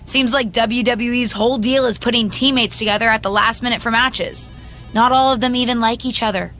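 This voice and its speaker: A woman's voice: on the high side, steady tone, brisk, fairly bright tone, soft background music, close to an everyday voice. An actress playing an eager, plucky young female pro wrestler in a video game cutscene, speaking sincerely. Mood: wry, confident.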